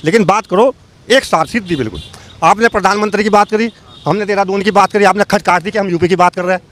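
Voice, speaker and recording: A man's voice: sits high, quick pace, fairly bright tone. A man from the public arguing in a heated street interview, repeating himself and challenging the other person. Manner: argumentative, repetitive, challenging. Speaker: member of the public being interviewed.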